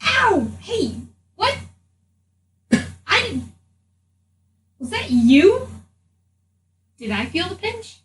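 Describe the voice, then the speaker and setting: A woman's voice: very high-pitched, very animated, very slow, long pauses, a bit bright. A woman playing a tabletop game, switching casually between in-character talk and her own. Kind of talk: casual in-character role-play. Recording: distant mic, faint room noise.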